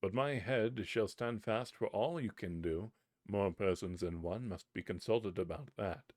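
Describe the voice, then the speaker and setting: A man's voice: lowish voice, a little slow, no echo, studio quality, lightly acted. A man voicing a brave, cheerful young schoolboy who talks boldly. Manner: bold, lively.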